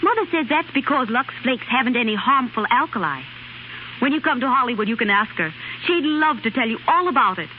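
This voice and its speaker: A woman's voice: a little high, expressive, brisk, close to an everyday voice. Woman playing tinny-sounding, good-natured college girl. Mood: earnest, instructive. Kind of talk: 1930s radio commercial acting. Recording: tinny, hissy 1930s radio recording.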